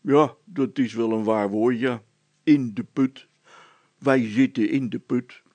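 A man's voice: mid-range voice, steady tone, slow pace, darkish tone, no echo. A man voicing a simple, anxious village policeman in a storybook, hesitant and prone to repeating himself. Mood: glum, puzzled. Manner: repetitive, hesitant.

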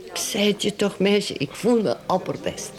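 Radio drama: woman plays prickly, boozy wife and mother, theatrical, sarcastic, very animated delivery. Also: medium pitch, moderate pace, a crowd in the background, close to an everyday voice. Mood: tearful gaiety. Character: tipsy, prickly middle-aged wife and mother.

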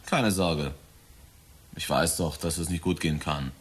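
A man's voice: a bass voice, measured pace, breathy edge, exaggerated voice. A man in a German radio drama playing a cynical 23-year-old murderer who taunts his father in a cold, flippant, insinuating way. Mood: veiled threat.

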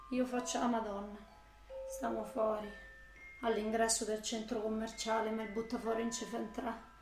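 A woman's voice: fairly high pitch, a little mellow, close to an everyday voice. An actress playing a volatile, foul-mouthed young working-class woman from Rome in a radio drama, with a Roman accent and coarse slangy delivery. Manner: coarse slangy street talk. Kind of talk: radio drama acting with long monologue. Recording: echoey room with faint noise.

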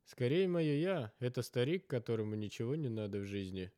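A man's voice: mid-range voice, expressive, a little fast, warm tone, dry recording, studio quality, lightly acted. A man voicing an inquisitive, persistent male adventurer in a narrated story. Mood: wry.

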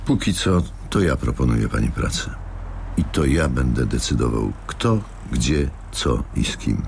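An actor playing a cold, menacing elderly company boss in a radio drama, gravelly and coolly formal, deliberate and controlling. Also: male voice, a bass voice, animated, darkish tone, a little hiss or hum, heavily acted. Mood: curt, commanding. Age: older.

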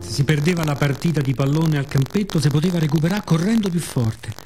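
A man's voice: a little high, fast talker, over loud music. A man with a Roman Italian accent performs a scripted radio monologue in a reflective, wry, conversational storytelling manner, slipping into colloquial asides. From Rome, Italy.

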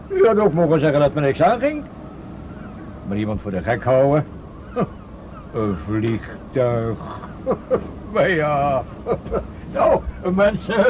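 An actor playing a jovial passerby who mocks a strange machine, teasing and hesitant, in a 1970s radio play. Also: male voice, on the high side, lo-fi sound, a little hiss or hum. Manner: giggly, teasing, hesitant with many fillers. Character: jovial mocking male passerby on the beach.

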